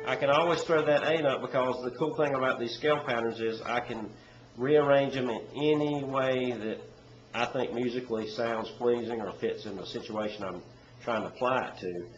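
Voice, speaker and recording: A man with a head cold and a stuffy nose, teaching banjo in a relaxed, rambling way with many pauses. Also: mid-range voice, music in the background. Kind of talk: casual instructional talk. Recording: echoey room with dull, lo-fi sound. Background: loud banjo playing.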